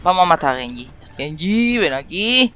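A woman with an accent from Spain chatting excitedly while playing a shooter game with a friend, laughing and swearing often. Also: lowish voice, moderate pace. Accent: Spanish from Spain. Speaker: gaming channel host. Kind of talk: casual gameplay chat with a friend.

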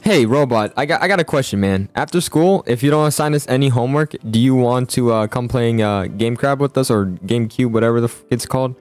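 A young man improvising a school roleplay in a video game, talking in an excitable, slangy way. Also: mid-range voice, brisk, hardly any pauses, faint music behind. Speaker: host and player in a school roleplay. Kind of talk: casual improvised gaming roleplay chat.

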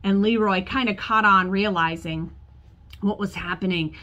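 A woman in her forties, a pastor, preaching a sermon to camera from her office, telling a story in a warm conversational way. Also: mid-range voice, fairly even intonation, normal speed, dull audio. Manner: storytelling, acts out quoted voices, gestures with hands. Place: church office with bookshelves, indoors.

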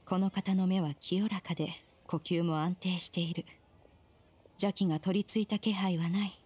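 A woman voicing an ancient crane spirit in human form, dignified and calm, talking in an archaic, haughty manner. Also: lowish voice, normal speed, breathy edge. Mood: composed. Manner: archaic, haughty, self-styled warawa. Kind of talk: Japanese game dub. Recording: music under the dialogue.

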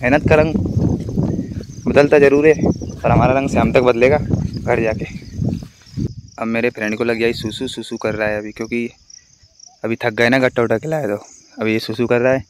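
A man's voice: medium pitch, level tone, moderate pace, pauses often. A man, vlogging casually on a phone outdoors. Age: 20s. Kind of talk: casual chat to camera. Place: outdoors in an open farm field. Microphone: phone at arm's length. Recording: noisy handheld phone outdoor recording.

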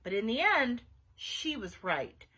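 Woman doing a huffy little know-it-all girl in a kids book, high husky voice. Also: big pitch swings, slow pace, sharp tone, heavily acted. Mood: matter-of-fact. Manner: huffy, matter-of-fact. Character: huffy, self-assured young girl, bookish and unbothered. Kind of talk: children's storybook read-aloud acting.

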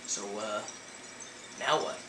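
A game player giving casual let's play commentary, thinking aloud and exclaiming in an animated way. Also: slow pace, heavy background noise. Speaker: gaming video host. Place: indoor room, playing in front of TV. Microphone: camera mic filming a TV screen. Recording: roomy camera recording with game music. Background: video game music.

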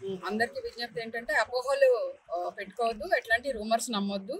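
A woman official addressing protesters outdoors in a firm, reassuring appeal, pausing between phrases. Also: a little high, a bit bright, slightly rough. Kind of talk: public appeal. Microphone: reporter mics. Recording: noisy outdoor recording.

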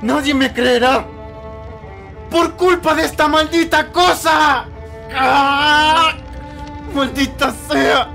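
A man's voice: extremely high pitch, steady tone, takes it slowly, vocal fry, long pauses, bright, forceful tone, soft background music, heavily acted. A man with a Latin American Spanish accent playing a bitter, betrayed young hero in an anime fan dub, brooding, distrustful and anguished. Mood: anguished, furious. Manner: brooding, bursting into anguished outcries.

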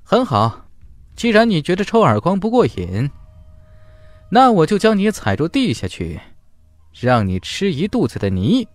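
A man's voice: fairly high pitch, wildly swooping pitch, unhurried, long pauses, no room sound, pitched above the performer's own voice. A man voicing a cold, arrogant young martial-arts master in an audiobook, in a haughty, taunting manner. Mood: cold menace.

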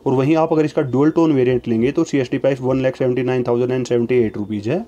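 A man narrating a voice-over, reading out long lists of prices in a steady, even, formulaic way. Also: mid-range voice, quick pace.

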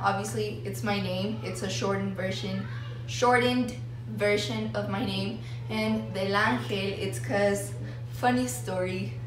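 A young woman from Mexico chatting casually to her phone camera as a vlogger, in a bubbly, nervous, self-deprecating way. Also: mid-range voice. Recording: very echoey room, a little far from the mic, music behind.

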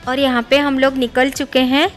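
A woman's voice: high voice, level tone, moderate pace, faint music behind. A woman in her thirties chatting casually in a family vlog, mixing in English words, filmed on a phone held at arm's length. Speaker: family vlogger. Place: home and on the road, train station.

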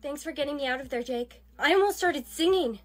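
Casting: woman to play melodramatic schoolgirl, extremely high pitch, urgent theatrical delivery for a comedy skit. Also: fairly quick, lightly acted. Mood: anxious, confiding. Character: dramatic schoolgirl caught in a deadly lyric game.

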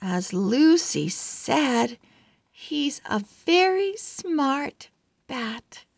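Lady reading a kids story super slow n expressive, dry close sound. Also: high voice, gravelly, dry recording. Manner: slow, deliberate, dramatic phrasing. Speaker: children's book read-aloud host. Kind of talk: expressive read-aloud narration for young children.

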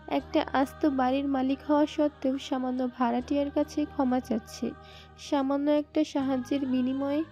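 A woman's voice: sits high, warm tone. A woman reading scripted dramatic narration for an audio story. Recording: muffled band-limited sound, music bed.

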